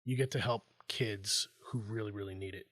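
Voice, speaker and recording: A man's voice: fairly low pitch, normal speed, a bit bright, no room sound, clean studio sound. Easygoing male podcast host. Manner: easygoing, giggly. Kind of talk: casual podcast chat and scripted outro.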